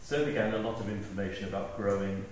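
A man speaks informally to a gathering, telling anecdotes with gentle humor. His low voice reverberates strongly around a stone church, picked up from far off with clear background noise. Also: fast talker. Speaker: memorial service speaker. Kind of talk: anecdotal eulogy. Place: large stone church, indoors. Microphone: far camera. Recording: echoey church, distant mic, noisy.

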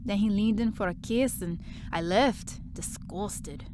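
A woman's voice: medium pitch, measured pace, a little mellow, slight background noise, natural voice. A woman playing a breezy, blunt young part-time worker in an audio drama, chatty and offhand. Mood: awkward, offhand.

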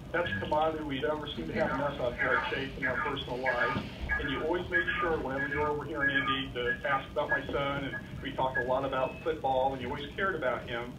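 A man giving a measured, formal farewell message to a colleague over a video call. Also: mid-range voice. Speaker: coworker giving a tribute. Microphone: video call on room speakers. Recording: echoey room, loud noise, from far off.

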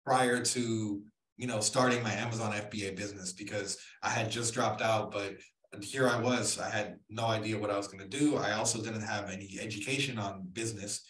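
A man's voice: lowish voice, fairly even intonation, brisk, fairly bright tone. A young man speaking as an interview guest, punchy and persuasive, asking rhetorical questions, on a studio mic over a video call. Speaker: guest entrepreneur. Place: room at home. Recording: roomy echo.

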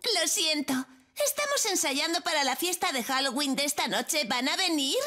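Very high-voiced cartoon girl. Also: expressive, moderate pace, sharp tone. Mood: cheerful. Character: cheerful, teasing, spirited little girl. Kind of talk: children's cartoon dubbing.

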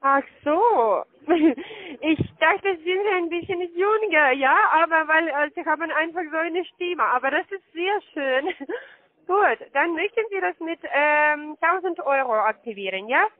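A woman's voice: sits high, animated, measured pace, no echo. A woman call-centre agent with a foreign accent, speaking non-native German on a phone call, hesitantly checking and repeating details. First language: not German. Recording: dull band-limited phone line.

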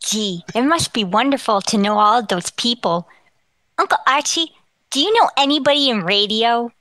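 A woman plays a brainy young boy in an old-time radio comedy. The voice is high and bright, with polite, very proper diction and lively swings in pitch. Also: moderate pace, dry recording. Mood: eager, curious. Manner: proper, articulate, bookish diction. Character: precocious, polite, bookish eleven-year-old boy.

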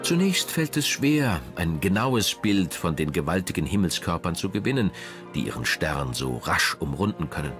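Deep-voiced male documentary narrator. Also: big pitch swings, moderate pace, music in the background.

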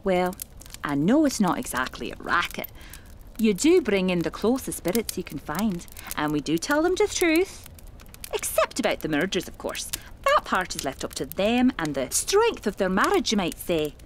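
A woman playing a fluttery, awkward and quietly sinister medium who muses theatrically to herself in an audio horror drama. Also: mid-range voice, huge pitch swings, normal speed, a little hiss or hum. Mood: wistful, wry. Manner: theatrical, exclamatory, musing to herself.

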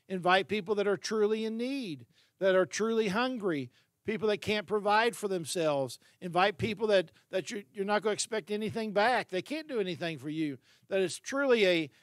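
A man's voice: sits high, studio quality. An older man preaching a sermon as pastor, earnest, with fillers and repeated words, holding a handheld mic in a large unfinished hall.

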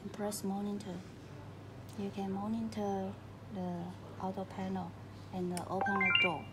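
A woman giving step-by-step instructional narration in a halting, simple way of phrasing. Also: mid-range voice, little pitch movement. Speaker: tutorial narrator. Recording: clearly noisy recording with room ambience.

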